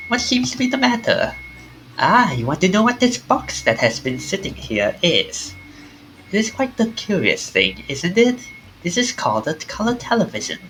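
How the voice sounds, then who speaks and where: Streamer doing a mysterious storyteller, courteous, formal, theatrical, lively pitch. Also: low-quality recording. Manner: formal, theatrical delivery with small stumbles. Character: mysterious, courteous narrator of ghostly tales. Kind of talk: reading visual novel dialogue aloud.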